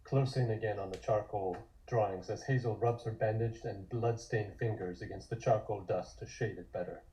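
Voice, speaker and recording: A man's voice: on the low side, steady tone, moderate pace, dark tone, low-quality recording. A man reading scripted scene descriptions as the narrator of a table read.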